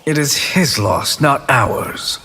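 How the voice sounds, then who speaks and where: A man's voice: medium pitch, animated, slow pace, sharp tone. A man playing a cunning, haughty sorcerer in a fighting game, with a calculating manner. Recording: long reverb, faint noise.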